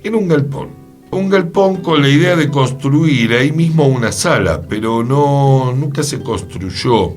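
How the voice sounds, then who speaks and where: A man's voice: mid-range voice, expressive, a little slow, darkish tone, faint music behind. A man with a Buenos Aires accent presenting a radio show in a chatty, storytelling way. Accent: Argentine, Buenos Aires. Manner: chatty, storytelling, poses questions to co-host. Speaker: radio host. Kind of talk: conversational radio presenting about theatre history.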